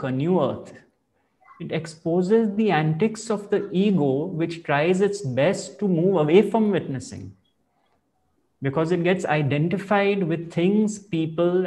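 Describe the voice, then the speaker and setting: A man speaking as a spiritual teacher, explaining ideas in slow, measured and reflective phrasing. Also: a little high, a little mellow. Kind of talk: calm explanatory spiritual talk.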